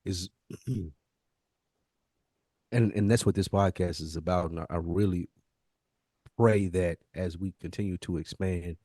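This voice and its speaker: A man's voice: low-pitched, steady tone, unhurried, pauses often, warm tone, dry recording. A man hosting a podcast, speaking earnestly and emphatically in casual, slangy talk. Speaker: podcast host.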